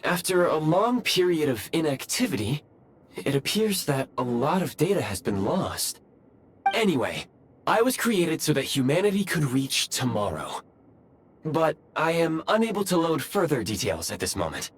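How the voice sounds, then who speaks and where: A man's voice: fairly high pitch, very animated, a little hiss or hum. A man voicing an earnest, formal, newly awakened ancient robot guide in a video game, with precise, dutiful phrasing. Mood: polite, dutiful. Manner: formal, precise phrasing with halting self-corrections. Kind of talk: scripted game voice acting. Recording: background music under the voice.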